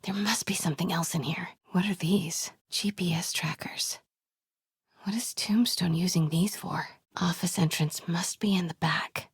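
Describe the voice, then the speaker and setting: A very breathy, slightly low-pitched young American woman as a plucky investigative reporter in a video game. She sounds natural and determined, with a wry edge, in a dry, close recording. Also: fairly even intonation, a little slow, no room sound, heavily acted. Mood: hushed, focused. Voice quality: breathy, often hushed.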